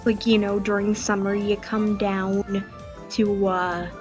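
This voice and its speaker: A woman's voice: medium pitch, level tone, takes it slowly. Casual woman chatting over music. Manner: filler-heavy, informal storytelling, swears. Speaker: livestream host. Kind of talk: casual chat.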